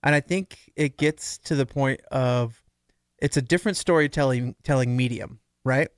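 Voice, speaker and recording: A man talks in a mid-range voice in a relaxed podcast discussion. He explains his reasoning conversationally, thinking aloud as he goes. The sound is close and dry, without room echo. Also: medium pitch, moderate pace, clear, resonant tone. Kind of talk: casual analytical podcast discussion.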